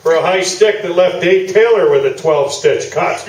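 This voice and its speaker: A man's voice: high voice, normal speed, slight background noise, close to an everyday voice. A man playing a boastful, blustering, evasive old sports columnist who rambles self-importantly in a comic stage radio play. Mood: smug, nostalgic. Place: small theatre stage, live performance. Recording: echoey stage, distant camera mic.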